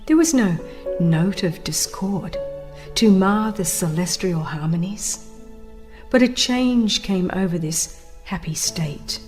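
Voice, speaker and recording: A woman narrating a religious book as a dramatized reading, solemn and reverent, with measured, dramatic emphasis. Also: mid-range voice, darkish tone. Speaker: audiobook narrator.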